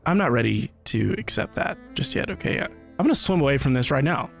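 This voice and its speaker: A man's voice: medium pitch, sing-song, brisk, gravelly. A man giving casual, emphatic reaction commentary as a game streamer, speaking informally. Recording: faint game music behind.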